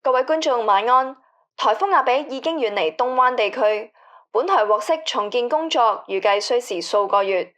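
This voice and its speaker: A composed female newsreader acted by a woman with a fairly high voice. She delivers a scripted bulletin in a formal, measured way, as in a radio drama. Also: lively intonation, a bit bright, dull audio. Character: composed female television news anchor reading a bulletin. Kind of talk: formal scripted news reading.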